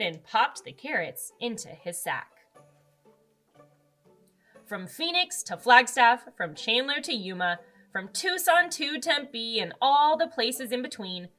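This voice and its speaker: A woman with a fairly high, very lively voice narrates a children's storybook. She takes her time and leans on words for dramatic effect, with music underneath. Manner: expressive, dramatic emphasis. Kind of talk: animated read-aloud narration for children.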